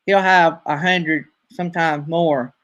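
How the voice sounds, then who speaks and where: A man's voice: a little high, steady tone, slow pace, a bit bright. A man with a Southern accent hosting a casual live-stream chat, rambling along with plenty of fillers. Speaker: host.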